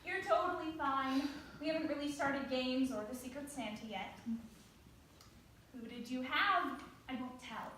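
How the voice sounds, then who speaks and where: Woman acting a sassy young office friend, perky, teasing, bright high voice, very echoey stage reading. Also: unhurried. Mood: teasing, encouraging. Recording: very echoey room, faint noise.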